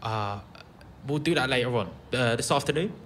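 A man's voice: medium pitch, normal speed, a bit bright, natural voice. An actor playing a blunt, laid-back young man behind a camera in an audio drama, casual and matter-of-fact, with a British accent. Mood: casual, offhand. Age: young adult. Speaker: actor in a fiction podcast. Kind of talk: naturalistic audio drama dialogue. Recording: faint ambient background noise.

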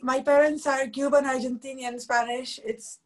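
A woman in her thirties from Luxembourg with a husky voice, telling stories animatedly with frequent laughs over a video call from home. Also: high voice, normal speed. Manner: animated storytelling, laughs often. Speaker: musician guest. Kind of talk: casual video call interview. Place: home room, indoors.

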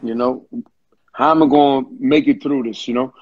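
A man's voice: medium pitch, fairly even intonation, normal speed. A man hosting a casual interview over a video call, talking in a slangy, encouraging way. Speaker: interview host. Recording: dull, muffled video-call audio.

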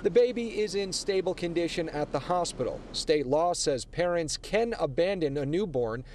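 A young man doing a live news report outdoors on a city sidewalk, speaking into a lapel mic with a brisk, emphatic delivery. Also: fairly high pitch, lively intonation, a little mellow. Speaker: field reporter. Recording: street traffic noise behind.

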